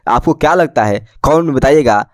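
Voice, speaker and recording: Male news channel commentator, mid-pitched voice, explanatory delivery with rhetorical questions, very dry recording. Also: expressive, fairly quick, no room sound. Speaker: YouTube news channel presenter. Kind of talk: news commentary addressed to viewers.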